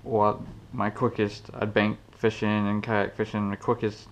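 A man's voice: on the low side, level tone, measured pace, a little hiss or hum, rough recording. A man hosting a fishing channel, casually demonstrating a knot in his garage while counting the steps aloud. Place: cluttered garage, indoors. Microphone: head-mounted action camera.

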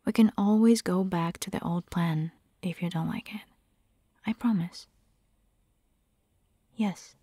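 A woman playing a caring, teasing vampire hunter girlfriend in an intimate ASMR roleplay, whispering breathily and coaxing gently. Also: on the low side, slow pace, pauses often, slightly rough, dry recording. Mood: playful, coaxing.

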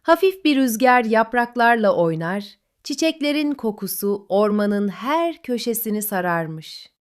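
A woman narrating a children's bedtime story in a gentle, melodic manner. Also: on the high side, expressive, measured pace.

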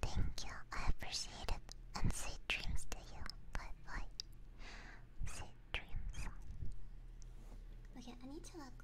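A woman livestreaming ASMR in a soft breathy whisper, chatting casually with viewers close to a binaural microphone. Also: slow pace, pauses often. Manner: casual, chatty, frequent swearing. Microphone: binaural ear microphone, close up.

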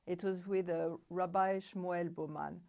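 A middle-aged woman from France speaks English with a clear French accent, pausing to hunt for the right word. She presents to camera in a studio on a lapel mic, and the sound is a bit dull and lo-fi. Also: on the low side, fairly even intonation. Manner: halting, searching for English words. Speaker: co-host. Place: studio set with bookshelves.

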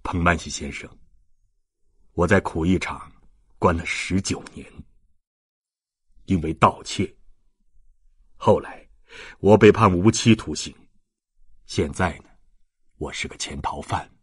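A man voicing a sorrowful old ex-convict in an audiobook, solemn and halting, with a husky voice. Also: low voice, no echo, clean studio sound, voice pushed down, full character voice. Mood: grave, confessing. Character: sorrowful old male ex-convict, grave, confessing.

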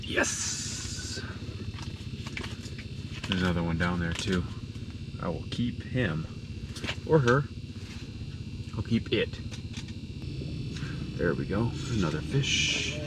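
Young guy ice fishing, low voice, mumbly running commentary, camera sitting back from him. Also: expressive, slow, long pauses. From Wisconsin. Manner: low-key, mutters to himself and the fish. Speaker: fishing vlogger. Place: frozen lake outdoors, then inside a small ice shanty. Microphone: action camera on the ice. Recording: distant camera mic, loud wind noise.

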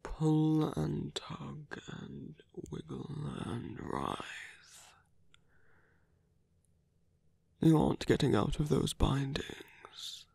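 A man playing a smooth, seductive villain in an ASMR roleplay, teasing and coaxing in a breathy voice that often drops into a whisper. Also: fairly high pitch, fairly even intonation, darkish tone, no room sound, heavily acted. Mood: teasing, menacing. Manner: slow, teasing, coaxing, with long pauses. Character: smooth seductive male villain tempting a captive hero.

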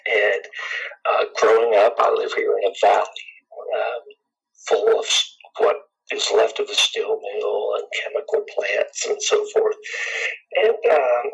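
A middle-aged man with a scratchy, raspy voice, chatting casually and rambling as an interview guest. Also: fairly low pitch, huge pitch swings, unhurried, lo-fi sound. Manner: laid-back, rambling, chuckles often. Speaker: guitarist guest.

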